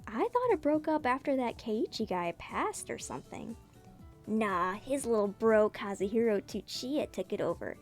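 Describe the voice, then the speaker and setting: A woman's voice: fairly high pitch, sing-song, normal speed, faint music behind. A woman voicing gossipy, bantering school delinquents in a casual, teasing webcomic dramatic reading.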